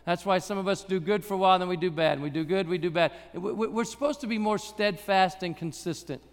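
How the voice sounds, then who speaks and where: Man with a high raspy voice preaching in church, chatty and jokey, big hall echo. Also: fast. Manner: humorous, conversational preaching. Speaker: guest preacher. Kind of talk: sermon.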